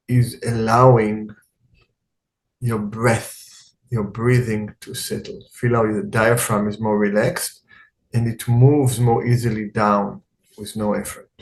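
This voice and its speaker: A man teaching guided meditation in a calm, unhurried way, speaking in short phrases with long pauses. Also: fairly low pitch, low-quality recording.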